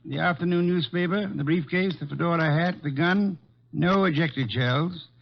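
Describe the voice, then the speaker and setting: Imperious high-voiced male detective. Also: normal speed, gravelly. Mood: brisk, assured. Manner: formal, precise, interrogating. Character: imperious, methodical genius male detective questioning suspects. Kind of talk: old-time radio detective drama acting. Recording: dull lo-fi old radio recording.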